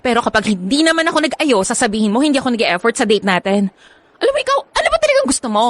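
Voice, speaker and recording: An actress playing a young Filipino girlfriend in a radio drama, with an expressive, conversational delivery. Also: fairly high pitch, rapid-fire, no room sound. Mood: annoyed. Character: affectionate young girlfriend Patricia, teasing, later complaining. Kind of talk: Tagalog radio drama acting. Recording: music bed behind the voice.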